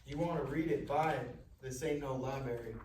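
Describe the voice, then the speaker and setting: Man acting a gruff menacing store clerk, roomy and distant. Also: mid-range voice, normal speed, a little mellow, natural voice. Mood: hostile, menacing. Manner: gruff, accusing, folksy speech. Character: portly, hostile gas station clerk, menacing and suspicious. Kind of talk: live table read of a screenplay. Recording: roomy, distant live stage recording, noisy.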